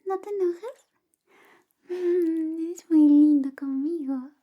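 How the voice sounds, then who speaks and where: A woman whispering softly and gently in an ASMR roleplay, playing a friend who grooms you. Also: very high voice, slow pace, narrow, tinny audio. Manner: soft whispering, close and gentle.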